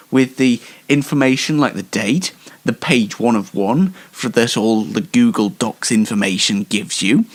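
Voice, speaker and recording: A man hosting a casual online show, talking in a halting, self-deprecating and wry way. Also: high-pitched. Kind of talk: casual live show monologue with light news commentary.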